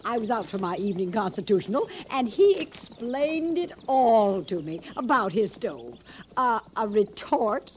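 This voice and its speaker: A woman's voice: mid-range voice, very animated, a little slow. A woman acting a prim, naive, genteel reforming lady in an old radio western, proper and fluttery, over faint noise and horse hooves. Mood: chatty, innocent.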